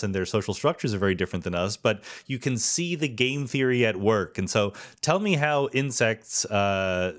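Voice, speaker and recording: Low-voiced man as podcast host, asks measured questions with ums and restarts. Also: a bit bright. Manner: thoughtful, hesitant questions with fillers. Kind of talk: interviewing a guest.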